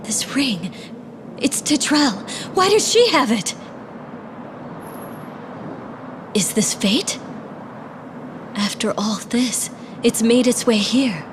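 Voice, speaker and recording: A woman's voice: a little high, noisy background. A woman playing a solemn, determined young sorceress princess in a dramatic fantasy video game. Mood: grave wonder. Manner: dramatic, deliberate, incantatory. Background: music.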